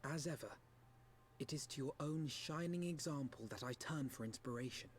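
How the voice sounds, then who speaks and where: A proud young noble idealist from a fantasy game , played by a man, in a medium-pitched voice. He speaks with formal, solemn eloquence over some background noise. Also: moderate pace, lightly acted. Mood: regretful, resolute. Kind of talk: fantasy game acting in archaic formal English.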